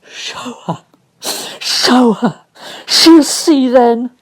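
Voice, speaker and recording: A woman's voice: on the high side, drawn-out words, pitched above the performer's own voice, heavily acted. A woman voicing a sickly, hysterical boy who wails and sobs. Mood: sobbing, hysterical. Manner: wailing, choked sobbing.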